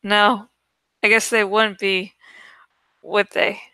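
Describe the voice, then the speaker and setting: A woman voicing a sad, concerned widowed father in a story, gentle and subdued. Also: medium pitch, flat delivery, slow, clear, resonant tone, no echo.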